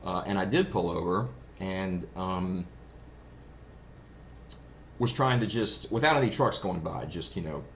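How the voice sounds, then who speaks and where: Male hobbyist reviewer, low-pitched, informal and keen, telling anecdotes with noticeable ambient noise behind. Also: a little slow, pauses often, a bit bright. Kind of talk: casual first-hand review vlog. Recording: clearly noisy background ambience.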